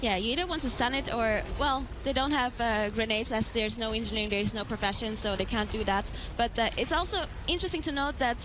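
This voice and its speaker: A woman's voice: on the high side, brisk, hardly any pauses, noisy background. A woman giving live, analytical esports commentary, explaining tactics as play unfolds.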